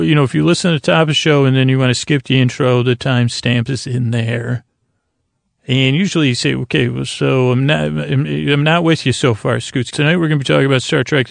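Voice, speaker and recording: Creaky guy doing a sleep podcast, rambly and languid, stumbles on words, really dry sound. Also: medium pitch, no room sound. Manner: languid, meandering, often stumbling over words. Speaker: host of a bedtime podcast. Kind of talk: rambling, soothing sleep-aid monologue.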